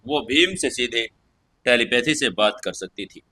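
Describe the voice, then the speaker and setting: Bright-voiced man narrating an audio story. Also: mid-range voice, expressive, measured pace, breathy edge. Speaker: storyteller and channel host.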